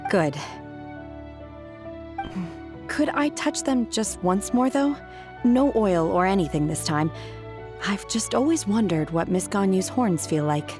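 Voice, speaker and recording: A woman voicing an aloof, earnest young woman in a fantasy game, calm, formal and deadpan. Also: mid-range voice, unhurried, close to an everyday voice. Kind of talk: video game voice acting. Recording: game dialogue over background music.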